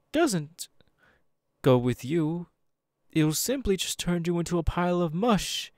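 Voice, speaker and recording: A man's voice: a little high, a little mellow, dry recording, exaggerated voice. Man playing a seductive male demon, condescending, menacing, swooping intonation, close dry mic, ASMR roleplay. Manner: slow, teasing, drawn-out, patronising phrasing. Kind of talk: ASMR audio roleplay, second-person monologue. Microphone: close dry microphone.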